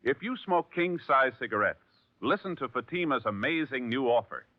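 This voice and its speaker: A man's voice: mid-range voice, expressive, moderate pace, dry recording. A male radio announcer reading an upbeat, emphatic 1950s commercial. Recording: dry tinny 1950s radio broadcast.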